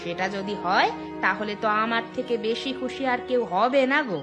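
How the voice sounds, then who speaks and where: A woman's voice: fairly high pitch, moderate pace, over music, close to an everyday voice. A woman voicing a concerned, conciliatory village wife in a moral cartoon, with a coaxing, questioning manner. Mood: agreeing. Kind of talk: Bengali moral cartoon voice acting.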